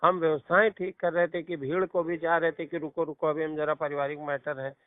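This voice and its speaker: A man's voice: on the high side, level tone, a little fast, no echo. A man in a private recorded phone conversation, talking in a defensive, placating manner. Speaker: caller, politician. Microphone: phone line. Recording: tinny, band-limited recorded phone call.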